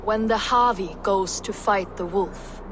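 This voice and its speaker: An actress playing a grave seer of doom in a Norse video game, speaking like an oracle. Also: female voice, mid-range voice, background noise, lightly acted. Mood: ominous. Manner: slow, oracular. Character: grave female Norn seer foretelling doom. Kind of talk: dramatic video game acting.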